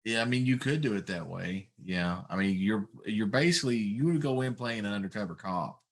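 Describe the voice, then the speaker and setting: A man's voice: lowish voice, lively intonation, brisk. A middle-aged man in casual, informal conversation as a talk show co-host. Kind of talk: casual chat.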